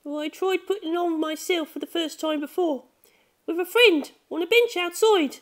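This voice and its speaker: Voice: very high-pitched, normal speed, pitched above the performer's own voice. A narrator voicing a timid, earnest young schoolgirl in an audiobook story. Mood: shy, explaining.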